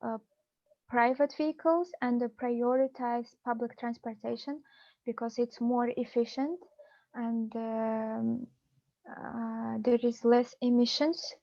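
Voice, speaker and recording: A young Kazakh woman speaking English with a Kazakh accent, pausing often to find words as she answers questions on a video call. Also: on the high side, steady tone, gravelly, warm tone, no room sound, highs cut off. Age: young adult. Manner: halting, searching for English words. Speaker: PhD student presenter.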